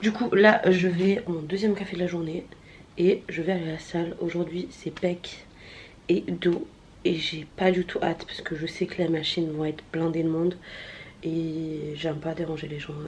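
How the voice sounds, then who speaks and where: A woman's voice: deep voice, level tone, normal speed, a little mellow, a little hiss or hum. A woman in her late twenties from southern France, chatting casually in a vlog with many fillers and self-mocking asides. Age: late 20s. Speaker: vlogger. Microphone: handheld camera.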